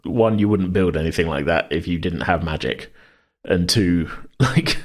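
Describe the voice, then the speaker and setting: A man co-hosting a casual worldbuilding chat, hesitant and rambling as he thinks out loud. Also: sits low, a little slow. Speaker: podcast co-host.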